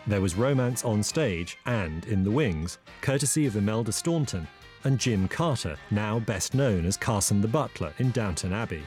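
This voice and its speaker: A man narrating a documentary in a measured, informative scripted delivery. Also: on the low side, lively intonation, darkish tone, soft background music.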